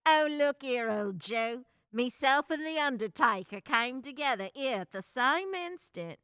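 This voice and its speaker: A woman plays a sly, chatty housekeeper in a radio drama. Her voice is bright, forward and clear, a little high, and she takes her time with the theatrical phrasing. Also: expressive, measured pace, no room sound, studio quality. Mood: sly, amused. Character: sly, cackling working-class housekeeper.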